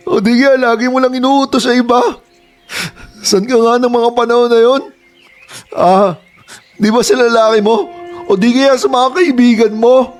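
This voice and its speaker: Man playing a young adult son, emotional, pleading, high voice, faint music behind. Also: moderate pace, pauses often. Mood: tearful. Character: hurt young adult son standing up to his mother. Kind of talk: Tagalog radio drama acting.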